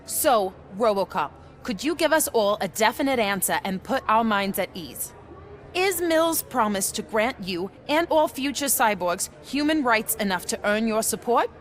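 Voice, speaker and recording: A persistent TV reporter, voiced by a woman with a slightly raised pitch, pressing her questions in a formal broadcast manner. Her delivery stays composed and insistent. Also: expressive, moderate pace, natural voice. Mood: probing. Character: pressing female TV news reporter.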